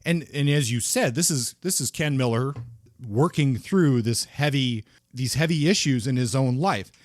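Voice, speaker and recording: A man co-hosting a podcast, talking through a crime novel in a thoughtful, halting way with frequent restarts. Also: medium pitch, no echo, studio quality. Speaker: podcast co-host. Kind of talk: casual book discussion.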